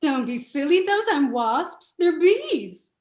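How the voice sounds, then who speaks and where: Woman as a cheerful, high-voiced suburban neighbor. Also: big pitch swings, measured pace, darkish tone, roomy sound. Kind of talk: comic parody radio advertisement.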